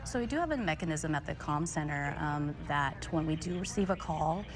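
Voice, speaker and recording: A woman's voice: sits low, animated, fairly quick. A woman in her forties, a dispatch training supervisor, giving composed explanations in an unscripted interview. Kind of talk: unscripted broadcast interview answers. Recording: clearly noisy background ambience.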